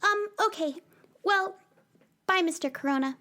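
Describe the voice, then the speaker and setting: Sweet kid girl in a radio play, really high voice, earnest, bit stammery. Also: a little slow, sharp tone, exaggerated voice. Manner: earnest, stammers when nervous. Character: young girl space explorer, friendly and polite. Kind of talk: radio drama acting.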